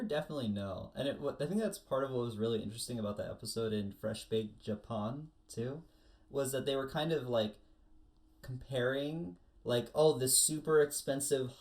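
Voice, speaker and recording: A man's voice: medium pitch, moderate pace, darkish tone. American man chatting casually, acting out quotes. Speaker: podcast panelist. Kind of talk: casual chat about anime.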